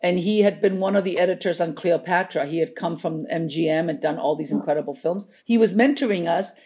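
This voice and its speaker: A woman's voice: lowish voice, brisk, dark tone, husky. An older woman from Chile, a native Spanish speaker, telling anecdotes casually as an interview guest. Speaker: interview guest, veteran script supervisor.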